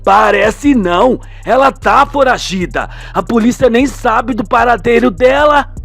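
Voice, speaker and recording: A man's voice: very high voice, a little slow, creaky, fairly bright tone, dry recording, soft background music, voice pushed up, exaggerated voice. A man voicing a concerned husband who passes on gossip in a knowing, matter-of-fact way.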